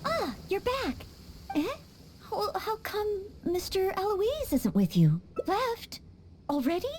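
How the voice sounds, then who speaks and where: A woman's voice: very high-pitched, very animated, takes it slowly, a little mellow, a little hiss or hum, heavily acted. A woman voicing a polite, earnest young maid knight in a game, courteous and eager to serve.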